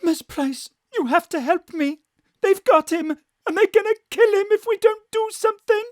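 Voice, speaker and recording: An actress playing a seductive, melodramatic gangster's widow in a noir-parody audio drama, pleading and flirtatious. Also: female voice, very high-pitched, animated, moderate pace, no room sound, clean studio sound. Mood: desperate.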